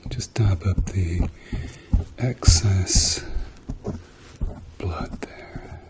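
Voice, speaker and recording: A man doing a soft-spoken, often whispered ASMR roleplay as a gentle, reassuring doctor with a dryly playful manner. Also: low voice, drawn-out words, noisy background. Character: calm, reassuring male doctor treating a patient.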